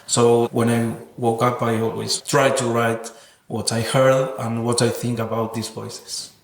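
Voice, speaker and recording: Even-toned reflective man in an echoey room. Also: mid-range voice, measured pace. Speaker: interviewed sound artist. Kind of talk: reflective interview talk. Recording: roomy, echoing sound.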